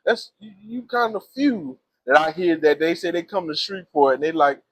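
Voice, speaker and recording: A man's voice: a little high, moderate pace, crowd noise. A man hosting a casual interview, chatty and encouraging, full of "come on now" affirmations. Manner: animated, Southern slang, frequent "come on now" affirmations. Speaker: podcast host.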